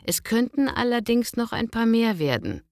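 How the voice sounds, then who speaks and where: A woman acting a polite businesswoman on a booking call in a scripted language-lesson dialogue, speaking clearly. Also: on the high side, big pitch swings, moderate pace, a little mellow, dry recording, studio quality. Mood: polite, businesslike. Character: polite businesswoman booking a hotel conference by phone.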